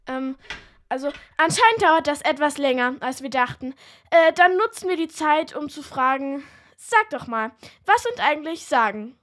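A woman's voice: high-pitched, lively intonation, moderate pace, fairly bright tone. A woman playing an eager, easily embarrassed young host of a makeshift show in a children's radio play. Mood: earnest.

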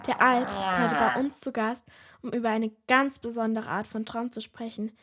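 A curious radio host, acted by a woman in a play for children. She has a high voice with a husky, breathy edge and presents in an inquisitive manner. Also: steady tone, normal speed, fairly bright tone. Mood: welcoming.

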